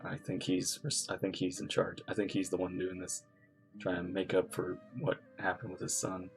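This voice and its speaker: A man's voice: deep voice, normal speed, vocal fry, over music. A man streaming a game, reacting casually and reading dialogue aloud with exclamations. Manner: animated, exclamatory.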